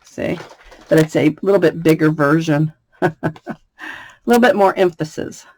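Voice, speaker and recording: A woman from the United States with a slightly raspy voice, chatting through a card-making tutorial and laughing between steps. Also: sits low, fairly even intonation, a little slow, a little mellow. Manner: chatty, laughs often, thinks aloud. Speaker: craft demonstrator. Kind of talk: casual craft tutorial.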